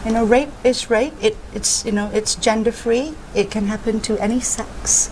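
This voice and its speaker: A woman from Malaysia, a psychotherapist, speaking as an interview guest in a calm, measured and frank way about her work. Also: a little high, a little hiss or hum, rough recording.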